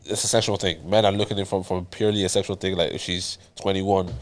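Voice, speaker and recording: Low-voiced man talking earnestly. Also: little pitch movement, fast talker. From Ireland. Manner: earnest, repeats words as he thinks aloud. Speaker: podcast co-host. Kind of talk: casual chat.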